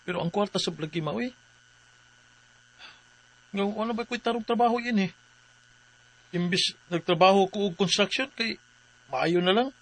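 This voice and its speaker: A man's voice: sits high, moderate pace, long pauses, a bit bright, low-quality recording. A man acting a worried, weary husband in a radio drama, earnest and troubled. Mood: fretful. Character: worried, weary working husband pleading for his wife.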